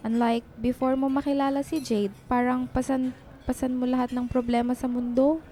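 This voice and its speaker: Woman acting a high, mellow-voiced frank best friend. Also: flat delivery, moderate pace, natural voice. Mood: consoling. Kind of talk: radio drama acting in Tagalog.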